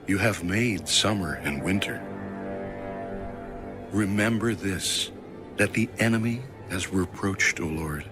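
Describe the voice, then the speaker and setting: A man reading scripture aloud in a solemn, formal, measured and dramatic style, as an audio Bible narrator. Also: deep voice. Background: background music.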